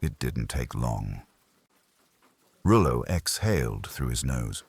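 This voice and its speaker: Very deep-voiced man narrating detective fiction. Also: sing-song, slow pace, gravelly, breathy edge, no echo. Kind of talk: scripted first-person detective story narration.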